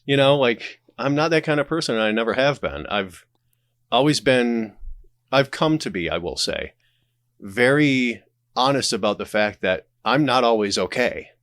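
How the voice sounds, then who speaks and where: A man's voice: medium pitch, expressive, moderate pace, fairly bright tone, no echo, studio quality. A man speaking as a podcast guest in a casual, candid conversational style. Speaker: podcast guest, audio drama creator and streamer.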